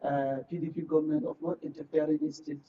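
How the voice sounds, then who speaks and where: A man's voice: on the high side, little pitch movement, fast talker, a little hiss or hum. A Nigerian man speaking as a guest political commentator in a live discussion, emphatic and repeating phrases to make his point. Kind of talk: live current affairs discussion. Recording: muffled, distant microphone.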